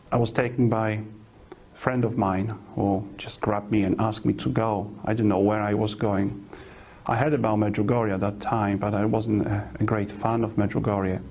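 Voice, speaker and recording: A middle-aged priest from Poland, Polish by birth, talks in a low, dark, warm voice. He tells his story slowly, with pauses and fillers, and often searches for the next word. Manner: unhurried, halting, with pauses and fillers. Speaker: parish priest. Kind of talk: personal testimony.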